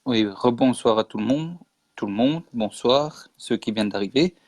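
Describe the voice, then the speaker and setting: A man from Belgium speaks as a guest in a casual online interview, earnest and insistent, repeating his points for emphasis. His voice sits at an ordinary pitch and sounds dry and close. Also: moderate pace, no room sound. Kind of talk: casual interview explaining history.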